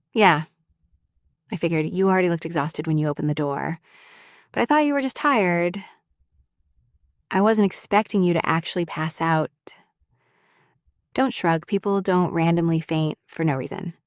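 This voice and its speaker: A woman voicing a sharp-tongued young woman, a bully who scolds and worries over someone who has fainted. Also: lowish voice, no room sound, studio quality. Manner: scolding and blunt, then anxious and tender. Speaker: voice actor in an audio roleplay. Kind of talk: scripted audio roleplay.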